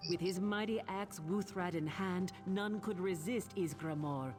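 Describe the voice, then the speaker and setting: An actress playing a proud, earnest Nord storyteller in a fantasy game, speaking in a solemn, declamatory way. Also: female voice, lowish voice, measured pace, close to an everyday voice. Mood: epic, solemn. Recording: game music loud behind the voice.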